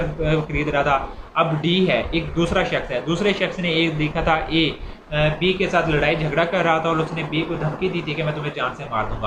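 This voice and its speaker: Male lecturer, Pakistani accent, slightly high-pitched, patient repetitive explaining, roomy echoing sound. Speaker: law lecturer. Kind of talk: informal lecture, explaining with examples. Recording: echoey room with clear background noise.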